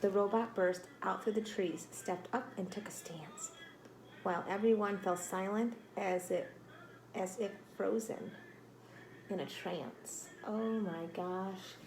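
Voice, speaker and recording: Expressive woman reading to children, noisy background. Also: mid-range voice, slow pace. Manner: expressive, dramatic, with exclamations and prompting questions. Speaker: teacher reading aloud to children. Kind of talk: read-aloud storytelling with questions to young listeners.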